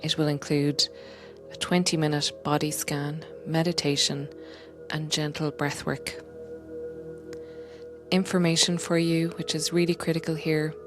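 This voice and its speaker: A woman guiding a meditation in a gentle, unhurried, soothing way, often softening toward a breathy whisper. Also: sits low, level tone, music in the background. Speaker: meditation guide and coach, podcast host. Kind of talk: guided meditation, soothing slow instruction.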